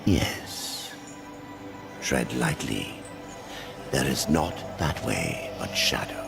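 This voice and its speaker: An actor playing a solemn ancient guide in a game cutscene, speaking in a measured way, with loud music behind. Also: male voice, rumbling low, very animated, exaggerated voice. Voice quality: gravelly. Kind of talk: video game cutscene acting.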